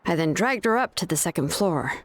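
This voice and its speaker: A woman voicing a calm, bookish craftswoman in a video game, explaining things in a measured, scripted way. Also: lowish voice. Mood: wry storytelling. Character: calm, bookish adult craftswoman who forges ancient names.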